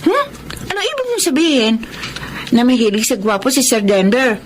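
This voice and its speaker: A woman's voice: a little high, huge pitch swings, normal speed, noisy background. A woman with a Filipino accent acting as a teasing, curious young college student in a radio-style drama.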